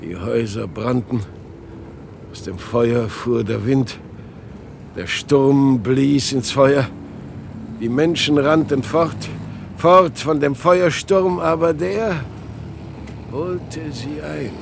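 An actor playing an anguished, bitter father in a radio drama, his creaky voice questioning and accusing. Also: medium pitch, takes it slowly, pauses often, a little hiss or hum. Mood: haunted, accusing. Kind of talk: dramatic radio play acting.

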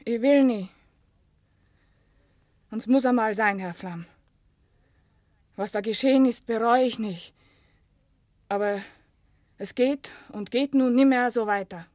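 Radio drama: young Silesian working woman, high voice, earnest, resolute, rustic speech, dry close sound. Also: takes it slowly, pauses often, a little mellow, no room sound. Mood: earnest, resigned. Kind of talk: German radio play acting in dialect.

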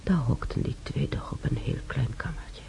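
A creaky, weary, ailing old woman. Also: very deep voice, mellow, in a whisper. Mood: troubled. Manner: halting, sighing, musing. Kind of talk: radio drama monologue. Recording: dull radio recording, faint noise.

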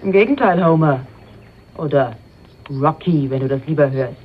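An actor playing a menacing, mocking antagonist in a radio crime drama. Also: male voice, fairly high pitch, normal speed. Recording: dull radio recording with clear noise.

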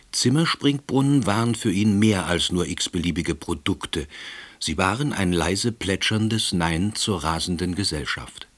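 An actor playing a wry, middle-aged former official, telling his own story in dry, wordy scripted narration. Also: fairly low pitch. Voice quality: husky. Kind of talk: scripted first-person narration.

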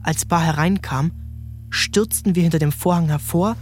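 A man's voice: fairly high pitch, moderate pace. Male audiobook narrator over music. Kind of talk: scripted literary narration.

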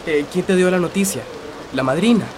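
An actor in a radio drama playing an earnest, anxious and excitable young man. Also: sits high, fairly quick, background noise, natural voice. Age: young adult.

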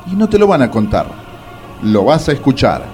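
An actor as a very animated theatrical radio narrator. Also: male voice, mid-range voice, normal speed, natural voice. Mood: theatrical, teasing. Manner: theatrical, teasing announcer delivery. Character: teasing, theatrical male narrator of a comic radio serial. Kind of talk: dramatic scripted radio serial narration. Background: music behind the voice.

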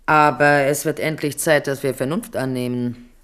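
A scheming royal queen mother in an old radio play. Her voice is smooth and measured, and she sounds persuasive and coolly calculating even when she speaks of peace. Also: extremely low pitch. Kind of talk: 1970s radio drama acting.

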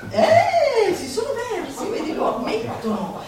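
A woman's voice: very high-pitched, huge pitch swings, unhurried, crowd noise. A woman performing a live theatrical reading in a declamatory way, slipping into teasing, mock-schoolteacher banter with her audience. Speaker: stage performer reading a literary text. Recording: echoey hall, distant mic, audience present.

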